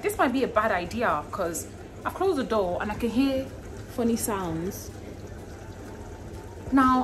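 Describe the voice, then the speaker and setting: A woman's voice: mid-range voice, background noise. A young woman chatting casually and expressively as she cooks in her home kitchen, filming on a handheld phone. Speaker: cooking vlogger. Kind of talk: casual cook-along vlog chat. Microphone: phone held in hand. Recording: music playing underneath.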